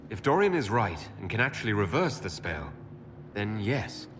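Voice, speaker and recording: An earnest, resolute elven hero in a fantasy game. His lowish voice moves expressively and sounds sincere and determined. There is clear background noise. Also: very animated, moderate pace. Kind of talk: dramatic fantasy game dialogue.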